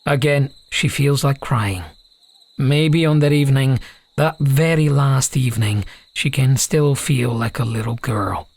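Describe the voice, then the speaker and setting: A man's voice: medium pitch, unhurried, vocal fry, a little mellow, no room sound. A man reading a scripted fantasy story as an audiobook narrator.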